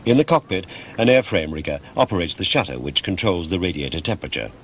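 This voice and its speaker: A man's voice: deep voice, animated, fast, a bit bright, slight background noise. A man reading scripted documentary narration in a formal, precise, factual manner.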